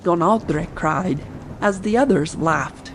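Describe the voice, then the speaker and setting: A woman's voice: lowish voice, slow. Woman narrating an audiobook over faint noise. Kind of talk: scripted audiobook narration for sleep listening. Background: ambient sea sound bed.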